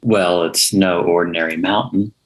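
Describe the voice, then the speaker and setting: A man's voice: deep voice, fairly even intonation, normal speed, lightly acted. A man reading the part of a skeptical, pushy young hipster journalist in a script table read. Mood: curious, probing.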